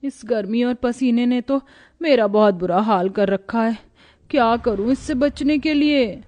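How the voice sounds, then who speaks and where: Lady doing a naive young village bride for a cartoon story, highish voice, comic and thrifty. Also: measured pace, a little mellow, dull audio, lightly acted. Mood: fretful. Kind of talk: cartoon moral story dialogue.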